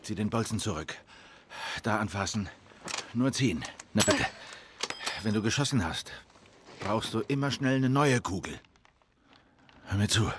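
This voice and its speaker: A guarded, protective middle-aged survivor in a game drama. His voice is fairly low and gruff, and he talks in terse instructions. There is some noise behind him. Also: animated, unhurried, long pauses. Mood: serious, instructing. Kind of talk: dramatic video game voice acting.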